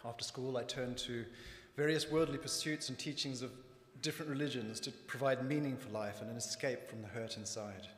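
A middle-aged man with a Zimbabwean accent, reading his personal testimony from notes at a church lectern in a measured, earnest way. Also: mid-range voice, fairly bright tone. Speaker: church member giving testimony. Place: church hall with raised stage. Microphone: lectern microphone. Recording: echoey hall from lectern mic.

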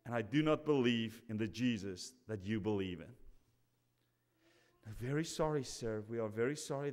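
Man, 40s, pastor telling a sermon story, animated, voices both sides; high voice, big hall echo. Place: church sanctuary, at a pulpit. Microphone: stand mic at pulpit. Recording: long reverb of a church hall.

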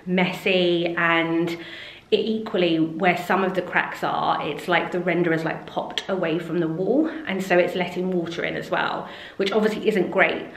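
A woman in her thirties talks casually to a camera held close, rambling through her thoughts with plenty of fillers. Her voice sits a little low. The kitchen around her leaves an echoey ring on the sound. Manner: chatty, rambling, many fillers. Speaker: home renovation vlogger. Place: large indoor kitchen. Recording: echoey room.

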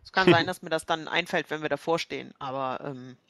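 A woman's voice: low-pitched, fairly quick, fairly bright tone, no room sound. Woman chatting bluntly, dry close sound. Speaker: tabletop role-playing player. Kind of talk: casual game-table chat.

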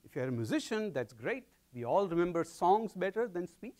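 A middle-aged man with an Indian accent giving an animated public science lecture in a large lecture hall, pacing and gesturing. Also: sits high, fairly quick.

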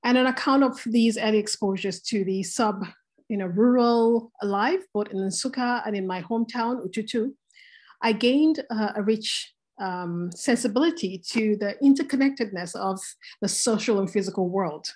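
Woman panelist on a video call, hesitant, many fillers, mid pitch. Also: moderate pace, darkish tone. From Nigeria. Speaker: panelist artist. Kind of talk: presenting her art practice.

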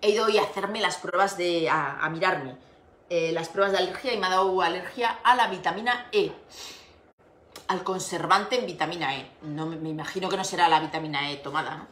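A woman's voice: on the low side, fairly quick, fairly bright tone. A middle-aged Spanish woman hosting a casual craft livestream from home, chatting and laughing as she greets viewers by name. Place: home room with window. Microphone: device camera on desk. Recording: echoey room.

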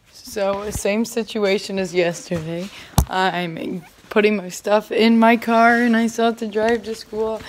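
A woman's voice: on the low side, a little hiss or hum. A teenage girl vlogging casually, rambling with lots of filler words, filmed on a phone at arm's length at home and in a car. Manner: chatty, rambling with many "like" fillers. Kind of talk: casual vlog chat.

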